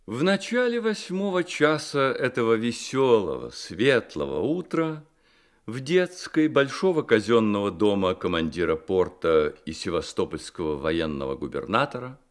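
A man narrating a literary story for a Soviet-era radio play, measured and expressive, with deliberate pauses. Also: mid-range voice. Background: music.

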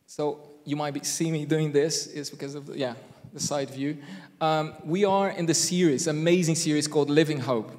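A man's voice: a little high, steady tone, normal speed, a little mellow. A middle-aged Brazilian man whose first language is Portuguese, preaching a chatty, self-joking sermon into a handheld mic on a church hall stage. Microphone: handheld microphone.

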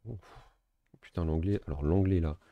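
A man giving relaxed, self-mocking commentary while playing a strategy game, thinking aloud. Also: low voice, normal speed, no room sound, phone-quality sound. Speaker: gaming video host. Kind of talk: casual let's-play commentary.

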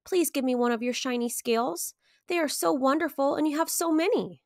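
Lady doing a tiny boy fish in a storybook, pleading, playful, raised high voice. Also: brisk, no room sound, clean studio sound. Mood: eager. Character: eager, pleading little male blue fish.

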